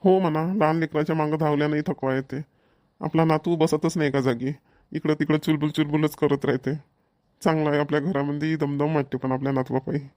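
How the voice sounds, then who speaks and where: A man's voice: a little high, fairly even intonation, a little fast, darkish tone, no room sound, studio quality, close to an everyday voice. A man playing an affectionate older farmer and grandfather in a cartoon, with a rural Maharashtrian accent. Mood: affectionate, weary. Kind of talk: cartoon family dialogue.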